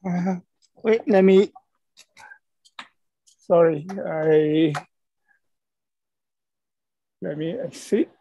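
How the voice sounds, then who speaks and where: A middle-aged man from Malaysia giving an online conference talk over a video call, halting and hesitant, with many fillers and apologies. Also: sits high, mellow, highs cut off. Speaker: conference speaker. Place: indoors at a computer.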